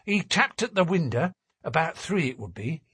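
A man's voice: a little high, big pitch swings, moderate pace, a bit bright, slightly rough, dry recording, pitched above the performer's own voice, exaggerated voice. A man voicing a stout working-class wife in an audiobook, garrulous, anxious and scolding, with colloquial, deferential talk. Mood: worried. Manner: chatty and colloquial, deferential with frequent "sir". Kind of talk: audiobook character voice.

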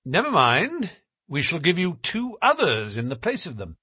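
A man's voice: a little high, wildly swooping pitch, normal speed, pushed and bright, husky, no room sound, clean studio sound, voice pushed up, heavily acted. A man playing a cool, self-assured detective. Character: calm, dry, self-assured male detective.

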